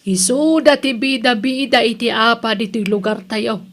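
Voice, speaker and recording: High-pitched bossy village auntie played by a woman. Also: fairly even intonation, moderate pace, lightly acted. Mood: scheming, persuasive. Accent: Ilocano. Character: bossy scheming village women's club president, an aunt. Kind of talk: Ilocano comedy radio drama acting.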